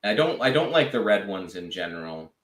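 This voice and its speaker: A man with a fairly low voice chats casually as a podcast guest. He rambles with plenty of "uh"s and restarts, and his voice comes through a roomy, echoey space. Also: big pitch swings, fairly quick, a bit bright. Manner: rambling, many fillers and restarts. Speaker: podcast guest, draft analyst. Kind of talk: casual chat.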